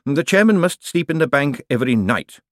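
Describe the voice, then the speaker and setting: A man's voice: a little high, very animated, a little fast, no room sound, clean studio sound, voice pushed up. A man voicing a stiff, pedantic male bank clerk in an audiobook, humming "mm" between formal phrases. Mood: officious. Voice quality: habitual "mm" hum between phrases. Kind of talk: audiobook character dialogue.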